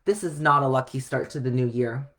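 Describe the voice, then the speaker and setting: A man voicing an earnest, anxious little girl in a children's storybook, with expressive delivery. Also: mid-range voice, fairly quick, lightly acted. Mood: dismayed.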